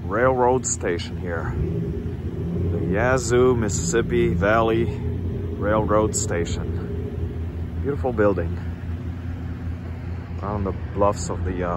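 A man talks slowly and easily as he walks through a quiet downtown, stopping often on "uh" while he points out what he sees. His voice sits a bit low. Street and car noise is clearly audible around him. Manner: unhurried, many filler pauses. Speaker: travel vlogger. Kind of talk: casual walking-tour narration. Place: outdoors on a small-town street.

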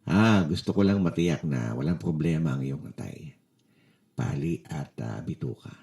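A man's voice: extremely low pitch, moderate pace, vocal fry, dark tone. A man with a Filipino accent playing a friendly, joking children's doctor in a storybook. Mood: attentive. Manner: cheerful, reassuring.

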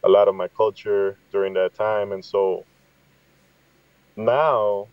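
A man's voice: on the low side, steady tone, takes it slowly. A middle-aged man from Guatemala telling reflective, conversational stories as a podcast guest over a video call. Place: inside a parked vehicle. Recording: slightly dull, roomy sound.

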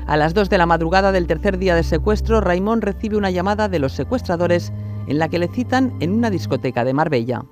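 High-pitched Spanish man narrating true crime. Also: animated, brisk, darkish tone, faint music behind. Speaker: documentary narrator.

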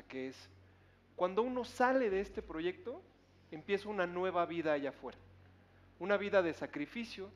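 Male contest judge, middle-aged, slightly high voice, emphatic speechmaking, dull studio sound. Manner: earnest, emphatic, rhetorical repetition. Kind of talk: live judging feedback. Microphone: headset microphone.

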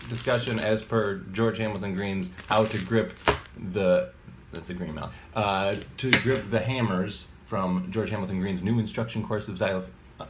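A man in his thirties with a very low voice talks through a lesson in a loose, rambling way, stopping to correct himself and filling gaps with ums. He holds a phone at arm's length in a home kitchen, and a faint hiss sits under the sound. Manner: rambling, many fillers and self-corrections. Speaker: mallet percussion teacher. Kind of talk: casual video lesson. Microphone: phone camera at arm's length.

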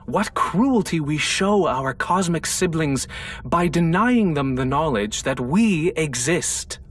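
A man's voice: fairly high pitch, animated, distant mic. A man playing an earnest, philosophical space station scientist, speaking formally in audio logs for a sci-fi game. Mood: sorrowful reflection. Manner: formal, measured, contemplative. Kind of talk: scripted audio log monologue for a sci-fi game.

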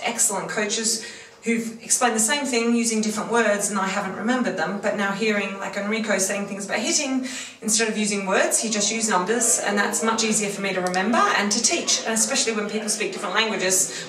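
A woman in her thirties, a softball coach, speaking fluently and at length in a camp interview. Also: mid-range voice. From Great Britain. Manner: fluent, talkative. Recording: echoey room from a distance, crowd behind.

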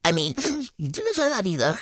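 A giggly woman bantering through a silly game video, her voice bouncing up and down in pitch as she brags in teen slang. She sounds close to the mic in a dead, dry space. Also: huge pitch swings, moderate pace, a bit bright, dry recording. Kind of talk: playful improvised banter voicing game characters.

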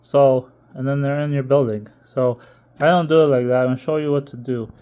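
A man's voice: mid-range voice, fairly even intonation, a little fast, dark tone, lo-fi sound. A man presenting a hands-on product walkthrough in an informal way, explaining things step by step. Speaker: tech video presenter.

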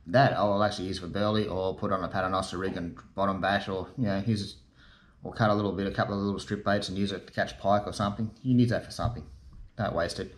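Laid-back middle-aged Australian man, lowish voice. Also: quick pace. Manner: relaxed, chatty, with fillers and matey asides. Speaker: fishing channel host. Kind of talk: casual how-to demonstration. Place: garage workshop at a table, indoors. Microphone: camera in front at desk distance.

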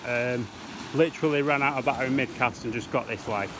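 A young man filming himself fishing from sea rocks, chatting excitedly as he reels in fish, recorded on a head-mounted action camera. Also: mid-range voice, a little fast. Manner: excitable, laughing, chatty while reeling in fish. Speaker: angler filming his own fishing trip. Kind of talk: casual running commentary. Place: outdoors on rocky sea shore by waves. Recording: action camera with loud wind and surf.

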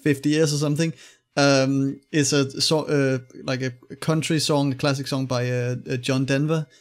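A man hosting a casual film discussion, speaking haltingly and repeating words as he searches for the next one. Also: mid-range voice, flat delivery.

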